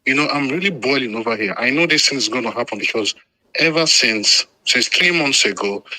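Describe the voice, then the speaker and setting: A man's voice: mid-range voice, expressive, fairly quick, sharp tone, rough recording. A man from Lagos with a Nigerian accent, calling a radio phone-in, speaking emphatically and spontaneously with repetition and some Pidgin. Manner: agitated, emphatic, repeats himself.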